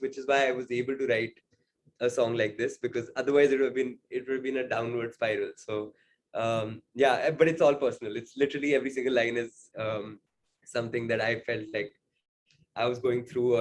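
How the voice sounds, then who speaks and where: A man's voice: medium pitch, steady tone, fairly quick. Young guy answering interview qs, thoughtful, says like and i mean a lot. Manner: reflective, many fillers like "like" and "I mean". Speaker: singer-songwriter guest.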